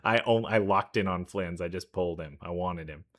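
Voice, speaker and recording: Man, deep but crisp forward voice, informal game reaction commentary, thinking aloud, faint music behind. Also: moderate pace, a bit bright. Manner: informal, thinks aloud in loose trailing sentences. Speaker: reaction video host. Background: game trailer music.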